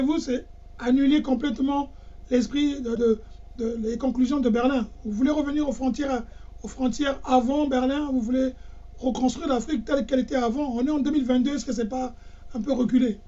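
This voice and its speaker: A man's voice: very high voice, steady tone, a little fast, mellow, highs cut off. A man hosting an interview, with hesitant, stammering restarts. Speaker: radio and TV host.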